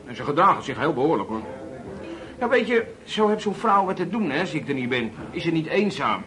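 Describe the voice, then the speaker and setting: An actor playing a talkative middle-aged working-class driver in a colloquial radio drama, speaking in a chatty, familiar way. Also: male voice, fairly high pitch, big pitch swings, brisk, noisy background. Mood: chatty, musing.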